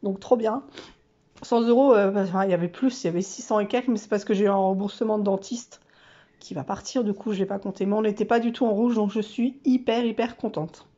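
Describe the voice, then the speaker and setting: A woman's voice: medium pitch, fairly even intonation, fairly quick, mellow, lo-fi sound. A woman vlogger with a stuffy, nasal voice from a cold, chatting casually and self-mockingly through her monthly challenges with lots of fillers.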